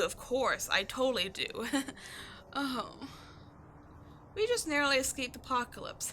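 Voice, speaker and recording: Tomboy adventurer archaeologist for roleplay audio, female actor: young woman, high-pitched, sharp and bright, cocky bluster over a soft heart, slangy teasing delivery. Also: a little hiss or hum, heavily acted. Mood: relieved, cocky.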